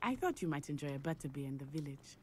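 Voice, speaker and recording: Lowish-voiced, friendly teenage witch. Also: animated, fast. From Africa. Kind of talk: video game acting.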